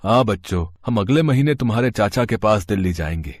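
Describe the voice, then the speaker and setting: A man's voice: fairly low pitch, animated, brisk, no echo. A man as a weary, earnest village labourer, dry sound. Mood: hopeful, fond. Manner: earnest, plaintive. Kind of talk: Hindi moral story voice acting.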